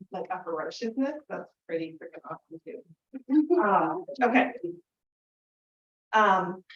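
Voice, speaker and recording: A woman host introducing a reader to an audience, reading a bio with enthusiasm and frequent fillers. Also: fairly high pitch. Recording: echoey room, far from mic, dull sound.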